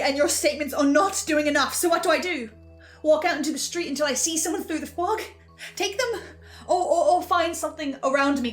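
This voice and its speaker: A high-pitched, haunted archivist, acted by a woman, confesses hungrily to the listener in a horror drama. The delivery is theatrical and halting, with soft music underneath. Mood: desperate, agitated. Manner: theatrical, halting, swinging from whispers to outbursts. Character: tormented, obsessive, hungry archivist confessing to the audience. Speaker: host of a horror listen-along series. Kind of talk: dramatic in-character monologue to the listener.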